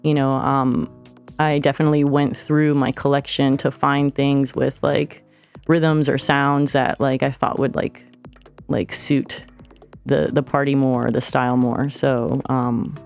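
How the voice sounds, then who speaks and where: A woman's voice: extremely low pitch, little pitch movement, measured pace, a little mellow. Woman DJ, hesitant, lots of fillers, faint music behind. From Florida, United States. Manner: hesitant, many fillers and restarts. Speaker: DJ guest. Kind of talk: casual radio interview. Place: radio studio.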